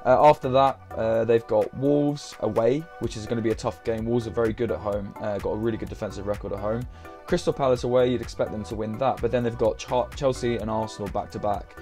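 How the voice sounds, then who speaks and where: Young man, low mellow voice, a bit croaky; casual, halting live-stream chat. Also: steady tone, soft background music. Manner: hesitant, many ums and uhs. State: croaky voice from a sore throat. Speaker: fantasy football streamer.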